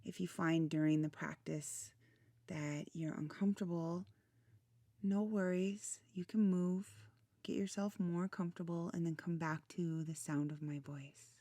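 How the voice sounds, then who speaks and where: A woman leading a guided relaxation meditation in a soothing, gentle manner, with soft, breathy phrase endings. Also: on the low side, level tone, unhurried, dry recording. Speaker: meditation guide, channel host.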